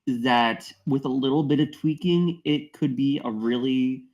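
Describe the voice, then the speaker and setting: A man giving wordy, measured feedback in a casual group discussion. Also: medium pitch, a little mellow, dull audio. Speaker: table read participant giving feedback.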